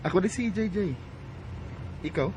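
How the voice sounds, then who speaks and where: A man's voice: high voice, animated, normal speed, noisy background. An actor playing a shy, friendly young man in a radio drama, with a Filipino accent. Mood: sheepish. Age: young adult. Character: friendly, shy young man courting, telling his life story.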